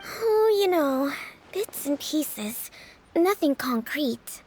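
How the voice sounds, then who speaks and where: A woman playing a poised young lady in a game cutscene, polite and measured in manner. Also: high voice, big pitch swings, darkish tone, a little hiss or hum, full character voice, low-quality recording. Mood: guarded, polite.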